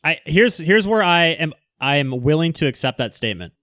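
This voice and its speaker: A man's voice: a little high, expressive, brisk, fairly bright tone, dry recording, studio quality. A man hosting a casual live discussion, informal and conciliatory in how he talks.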